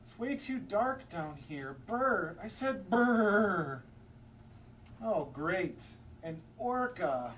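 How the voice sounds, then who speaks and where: A grumpy little penguin acted out by a man whines and grouses in a bright, lively voice. It is a storybook character with peevish, exasperated delivery, recorded at some distance from the mic. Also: high-pitched, extremely slow, exaggerated voice. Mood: grumpy, complaining. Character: grumpy, whiny young male penguin complaining. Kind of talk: picture-book read-aloud for children. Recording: distant mic, faint background noise.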